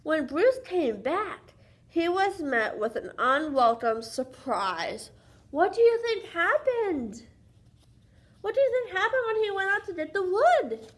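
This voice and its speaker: Female children's read-aloud host: high voice, lively swoops, unhurried, sing-song, interactive questioning.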